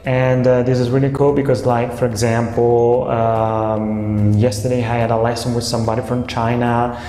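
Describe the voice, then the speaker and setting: Young Italian man, casual vlog talk, halting with fillers; mellow tone, roomy echo. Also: mid-range voice, completely flat delivery, faint music behind. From Italy. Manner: hesitant, many "uh" fillers. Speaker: online language tutor. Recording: echoey room with long reverb.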